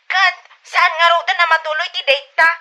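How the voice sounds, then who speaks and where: Man putting on a shrill, pouty young girlfriend. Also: little pitch movement, moderate pace, sharp tone, heavily acted. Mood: pouting. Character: sulky, demanding young girlfriend, pouty, clingy woman. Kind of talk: Ilocano comedy radio drama acting.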